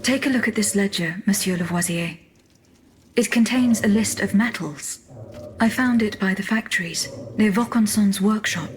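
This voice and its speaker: A woman voicing a courteous, coolly determined automaton in a video game, speaking in formal, measured lines. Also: mid-range voice, lightly acted. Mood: composed, factual. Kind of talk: scripted video game cutscene dialogue.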